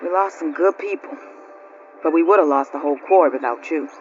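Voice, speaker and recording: A woman's voice: low voice, fairly quick, darkish tone, lightly acted. Folksy quarry boss, played by a woman, muffled sound. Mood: grateful. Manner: folksy, colloquial. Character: hardy, folksy, plain-spoken quarry crew boss. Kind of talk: cinematic video game acting. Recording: dull lo-fi audio with faint noise.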